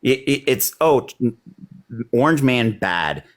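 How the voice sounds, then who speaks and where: A man arguing politics in a heated call, combative and rambling with many fillers, his voice a little hoarse. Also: mid-range voice, very animated, slow. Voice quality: slightly hoarse. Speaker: debate caller.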